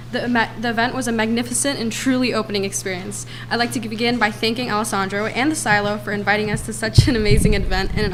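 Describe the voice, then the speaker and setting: A woman's voice: medium pitch, fairly bright tone, a little hiss or hum, poor audio. A young woman, a student, reading a prepared report aloud to a town council in earnest. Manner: earnest, reads quickly from notes. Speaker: student speaker.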